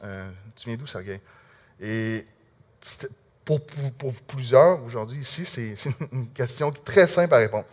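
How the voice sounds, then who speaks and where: A man with a Québécois French accent, telling an audience his personal testimony in an earnest, halting, conversational way. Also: medium pitch. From Quebec. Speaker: speaker sharing a personal testimony.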